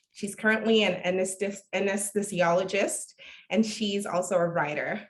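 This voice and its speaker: A woman hosting an online panel event, formally welcoming guests and introducing speakers. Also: medium pitch. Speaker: host, executive director introducing a panel.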